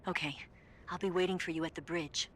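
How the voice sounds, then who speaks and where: A woman's voice: fairly low pitch, fairly even intonation, fairly quick, fairly bright tone, slight background noise, lightly acted. An actress playing a capable, composed female spy reporting in during a video game. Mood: businesslike. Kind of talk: video game radio-call acting.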